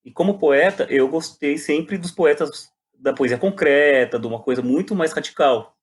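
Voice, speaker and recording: A man's voice: a little high, moderate pace. A Brazilian man talking casually and reflectively as an interview guest, often repeating words as he searches for phrasing. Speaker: playwright and poet guest.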